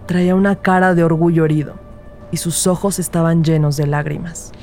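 A woman's voice: on the low side, fairly even intonation, brisk, a little mellow, faint music behind, natural voice. A woman playing a rookie detective who narrates her case in a scripted crime audio drama. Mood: grave, measured.

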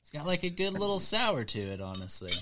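A man's voice: sits high, very animated, brisk, noisy background. A man hosting a casual garage podcast, laid-back and swearing freely, calling people dude and bro. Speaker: podcast host.

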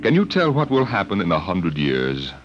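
A man narrating a classic radio show as its host in an ominous, theatrical, deliberate and suspenseful manner. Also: deep voice, wildly swooping pitch. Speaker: announcer and host. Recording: old radio broadcast recording. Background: dramatic music.

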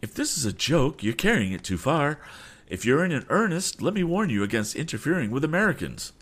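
A man plays a proud sea captain from an adventure storybook. He is mannerly yet firm and emphatic, with pitch that rises and falls freely. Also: huge pitch swings, normal speed, vocal fry, exaggerated voice. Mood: indignant, defiant. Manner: indignant, emphatic protesting. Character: mild-mannered sea captain turned indignant, proud and defiant.

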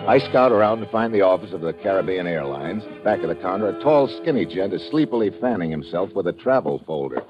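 Deep-voiced hard-boiled radio detective. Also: male voice, lively intonation, a little fast, few pauses. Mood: wry, tense. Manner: laconic, wisecracking, slangy. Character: wry hard-boiled American investigator, adult man. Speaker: lead actor and narrator. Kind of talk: old-time radio detective drama, first-person narration. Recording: muffled old radio recording, music behind.

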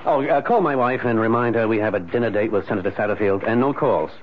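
A man's voice: fairly low pitch, fast talker, natural voice. An actor playing a proud, evasive businessman in a 1970s radio drama, insistent and sometimes hesitant. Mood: curt, stubborn. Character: proud, evasive high-powered businessman, troubled and stubborn. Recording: dull, noisy old radio recording.

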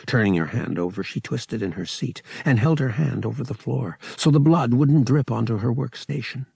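A man narrates an audiobook with a husky, gravelly voice. His delivery is dramatic and scripted, swinging widely in pitch, and the sound is close and dry. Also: moderate pace, dark tone, no room sound.

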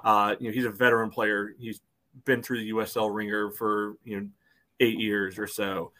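A podcast co-host arguing a soccer call, with "you know" between nearly every phrase. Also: male voice, fairly low pitch, flat delivery, normal speed, pushed and bright. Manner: loose, fills with "you know" often. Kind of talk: casual sports debate.

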